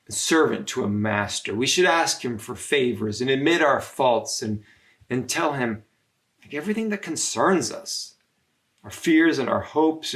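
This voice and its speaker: A man reading the part of an earnest, humble saint who teaches his friends in a storybook, speaking deliberately and urging them on. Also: medium pitch, fairly bright tone.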